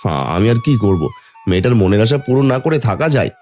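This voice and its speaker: A man playing a poor, gentle, doting village father in a Bengali cartoon, pleading and humble. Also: on the low side, lively intonation, fast talker, natural voice. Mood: apologetic. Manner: pleading, humble, soft-hearted. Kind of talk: Bengali fairy tale cartoon dubbing. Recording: music under the voice.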